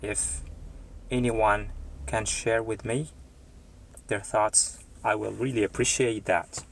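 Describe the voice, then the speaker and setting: A man from Morocco, an English tutor chatting live with his viewers, unhurried and pausing often with fillers. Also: fairly low pitch, steady tone, vocal fry, a little hiss or hum, rough recording. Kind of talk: live stream chat with viewers.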